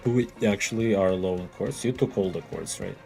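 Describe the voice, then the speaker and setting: Male streamer with a low voice, deadpan humor, casual game talk over background music. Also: normal speed, creaky. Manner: dry, joking, laughs often. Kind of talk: casual gaming chat. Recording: music playing behind.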